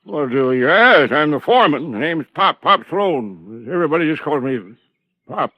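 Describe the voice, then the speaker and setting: Chatty old american country foreman, highish voice, repeats himself, old radio drama, muffled lo-fi sound. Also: expressive, no room sound. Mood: folksy, welcoming. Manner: rustic, halting, repeats himself. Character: folksy old lodge foreman, chatty and obliging. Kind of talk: 1950s radio drama acting. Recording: dull old radio recording.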